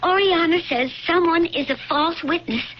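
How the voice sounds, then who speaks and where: High-voiced, pleading young slave girl. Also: moderate pace. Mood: insistent. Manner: pleading, earnest, insistent. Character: earnest, loyal young blind slave girl of Pompeii. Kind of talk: old-time radio drama acting. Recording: dull, noisy radio drama recording.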